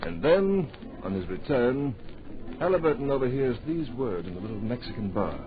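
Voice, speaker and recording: An actor as the earnest, dramatic narrator of a radio drama, delivering scripted adventure storytelling in a grand, deliberate manner. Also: male voice, medium pitch, expressive, soft background music, natural voice. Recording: dull old radio recording.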